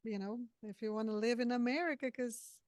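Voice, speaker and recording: A woman from Brazil, Portuguese her first language, hosting an interview over a video call and speaking in halting phrases with frequent restarts. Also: fairly high pitch, a little mellow, dry recording, clean studio sound. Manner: halting, many restarts and fillers. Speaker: podcast host. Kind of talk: casual interview chat.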